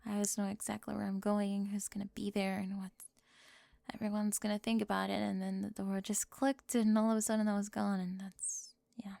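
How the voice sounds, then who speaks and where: Woman, mid-pitched, gravelly edge, dry close whispers, teasing roleplay delivery. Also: level tone, brisk, dry recording. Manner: teasing, sharp-tongued, moving between whispers and soft speech. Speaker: ASMR roleplay performer.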